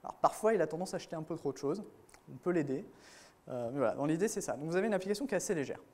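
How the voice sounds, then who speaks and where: A man's voice: fairly high pitch, lively intonation, very fast, darkish tone. A man giving a casual technical talk to a room of developers at a conference, with many fillers and restarts. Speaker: conference speaker.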